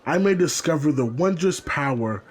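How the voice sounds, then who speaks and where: A man's voice: fairly high pitch, animated, moderate pace, slightly rough. An actor playing a hopeful male explorer, reading out a letter to his sister in an earnest, formal, rhapsodic way for an audio drama. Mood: fervent wonder. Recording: faint background ambience noise. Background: faint ambience.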